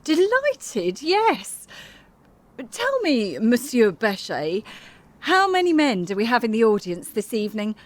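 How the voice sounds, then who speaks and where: A woman's voice: a little high, big pitch swings, takes it slowly. A woman playing a charming, bold French cabaret star in an audio drama, with a teasing, grandly gracious manner. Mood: gracious, commanding.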